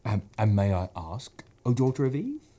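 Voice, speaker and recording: A man's voice: on the low side, big pitch swings, normal speed, dark tone. A man voicing a timid, polite male faun who stammers and repeats his words anxiously. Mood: courteous.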